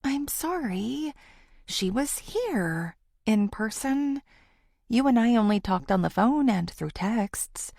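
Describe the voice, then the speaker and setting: A woman's voice: fairly high pitch, big pitch swings, slow pace, creaky, darkish tone, no room sound, studio quality, pitched above the performer's own voice, full character voice. A woman voicing an anxious, apologetic woman confiding in her best friend in an audiobook, hesitant and pleading.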